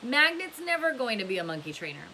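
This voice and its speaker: A woman's voice: fairly high pitch, very animated, fast, bright, forceful tone, pitched above the performer's own voice, heavily acted, low-quality recording. A woman voicing a cheeky, mocking teenage boy from a storybook in a brash, teasing manner, over hiss. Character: cheeky, mocking teenage boys at a work camp. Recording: clear background hiss.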